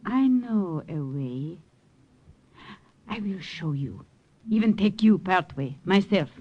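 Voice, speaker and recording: An actress playing a kindly older French widow in old radio drama, speaking with a French accent in a motherly, halting way. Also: medium pitch, expressive, warm tone, dull audio. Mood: thoughtful, helpful. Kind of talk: 1940s-50s radio drama acting. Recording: noisy old radio broadcast recording.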